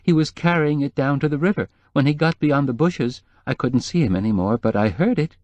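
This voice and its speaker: A man's voice: on the high side, a little fast, a little mellow, dry recording, voice pushed up, close to an everyday voice. A man voicing a nervous, timid middle-aged female housekeeper who whimpers and speaks hesitantly, in a dry close read.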